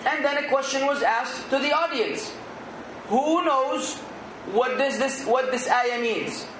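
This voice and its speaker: A man's voice: very high-pitched, echoey room, noisy background. A man giving an earnest Islamic sermon, exhorting his listeners and now and then rising to emphatic outbursts. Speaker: Islamic preacher.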